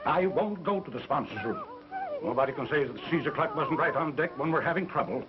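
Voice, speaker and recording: A man's voice: fairly high pitch, a little fast, very noisy, natural voice. An actor playing an irascible, self-important businessman and show sponsor in 1930s melodrama style, bossy and grumbling. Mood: irritated, blustering. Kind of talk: 1930s film melodrama acting. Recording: muffled vintage 1930s film soundtrack, music behind.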